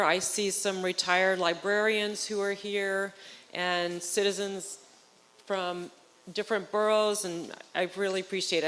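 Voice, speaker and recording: A woman's voice: mid-range voice, fairly bright tone. Woman addressing a meeting, echoey noisy hall. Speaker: forum co-host. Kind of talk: informal closing remarks to an audience. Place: large echoing hall at a public meeting. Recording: reverberant hall, background noise.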